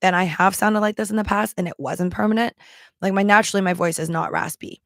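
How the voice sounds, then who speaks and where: Casual female vlogger, raspy and gravelly, rambling chatter, dry close sound. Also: medium pitch, quick pace, fairly bright tone, no room sound. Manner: rambling, with many ums and likes; talking around a throat candy. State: strained, worn voice. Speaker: livestream seller and vlogger. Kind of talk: casual chat to camera.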